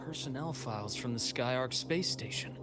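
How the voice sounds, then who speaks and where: Guy acting a calm analytical investigator for a video game, formal measured talk, music underneath. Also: medium pitch, close to an everyday voice. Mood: focused, explaining. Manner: measured, formal, deliberate phrasing. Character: composed, shrewd male investigator, calmly analytical.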